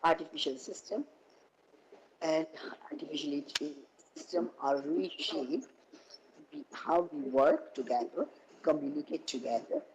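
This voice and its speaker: A woman with a husky voice, hoarse from a cold, giving a halting academic keynote over an online call. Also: low-pitched, expressive, darkish tone, a little hiss or hum, low-quality recording. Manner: halting, with many fillers and restarts. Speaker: keynote speaker.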